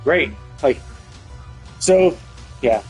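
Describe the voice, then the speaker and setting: Higher-pitched man streaming game commentary over music. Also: animated, extremely slow, darkish tone. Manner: profane, opinionated, mockingly paraphrases characters.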